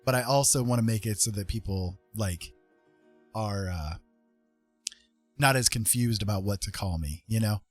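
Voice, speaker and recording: A man's voice: fairly low pitch, moderate pace, pauses often, soft background music. A man chatting casually on a livestream in a rambling, playful, self-mocking way.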